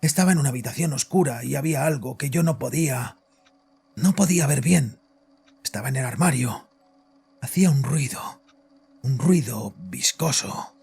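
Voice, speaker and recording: Gravelly, haunted father. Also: medium pitch, animated, darkish tone, full character voice. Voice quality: gravelly, husky. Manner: halting, anguished, rambling. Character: distraught, haunted, domineering father confessing to a doctor. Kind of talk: audio drama acting.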